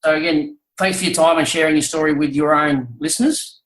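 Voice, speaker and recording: A man's voice: a little high, steady tone, brisk, a bit bright. A man interviewing casually, complimentary and a little hesitant as he phrases things. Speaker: guest interviewer. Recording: roomy, echoing sound.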